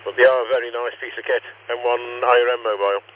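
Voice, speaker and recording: Deep-voiced man over a tinny radio link. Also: quick pace. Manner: relaxed, rambling, repeats his call sign. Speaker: amateur radio operator. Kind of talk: casual radio chat. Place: parked car.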